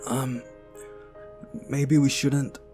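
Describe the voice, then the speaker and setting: Guy playing a regular young dude, defensive and unsure, slow delivery, game music under it. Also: mid-range voice, level tone, pitched above the performer's own voice. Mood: hesitant. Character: ordinary young man, defensive, hesitant ex-boyfriend. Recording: music behind the voice.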